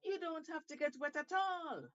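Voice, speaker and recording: A woman's voice: very high voice, normal speed, fairly bright tone, lo-fi sound, voice pushed up. A woman voicing an eager, persuasive male shark in a storybook tale, coaxing and emphatic.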